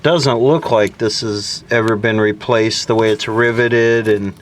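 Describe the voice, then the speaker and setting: A man's voice: fairly low pitch, fairly even intonation, unhurried, a little hiss or hum. A man giving casual off-the-cuff commentary as he looks over old equipment, musing aloud and asking himself questions with a sardonic streak. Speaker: host of a vintage radio repair video.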